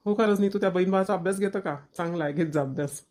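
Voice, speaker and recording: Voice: medium pitch, very fast, darkish tone, close to an everyday voice. A performer voicing an easygoing young village husband in a family cartoon, homely and conversational. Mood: casual, interested.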